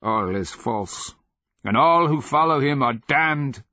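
A man voicing a fervent old slave in a fantasy audiobook, with a thick rasp and barked, doom-laden pronouncements. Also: on the low side, lively intonation, no echo, muffled recording, heavily acted. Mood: fierce, scornful. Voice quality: rasping, thick voice. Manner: barking, drawn-out pronouncements. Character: fervent old male slave, zealous doomsaying fanatic. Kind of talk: fantasy audiobook character acting.

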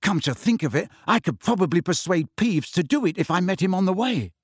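A man's voice: sits high, big pitch swings, brisk, slightly rough, no echo, studio quality, pitched above the performer's own voice, heavily acted. A man voicing a heated, eager teenage boy wizard.

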